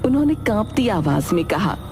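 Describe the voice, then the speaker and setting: A woman's voice: mid-range voice, big pitch swings, moderate pace. A woman narrating a scripted dramatic folk tale as a storyteller. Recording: music under the narration.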